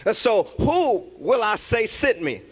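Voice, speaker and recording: A man's voice: high voice, sing-song, slow pace, heavily acted. A man voicing a hesitant, doubting man who pleads that he is unfit to lead. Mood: doubtful. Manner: pleading, unsure.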